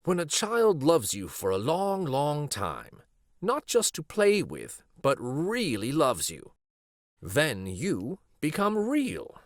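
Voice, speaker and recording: Guy doing a wise old toy horse from a kids book, patient, swoopy pitch, dry sound. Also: wildly swooping pitch, slow pace, no room sound, exaggerated voice. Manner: patient, gently explaining. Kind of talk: storybook character voice.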